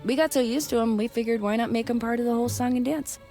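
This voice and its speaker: An actress playing a laid-back, wry member of an amateur pagan club in a video game, casual and teasing with dry humor. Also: female voice, a little high, fairly even intonation, fast, warm tone, faint music behind, natural voice.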